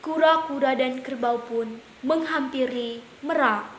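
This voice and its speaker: A woman's voice: high-pitched, unhurried. A woman narrating an animal fable for children as a storyteller. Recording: echoey room, faint hiss.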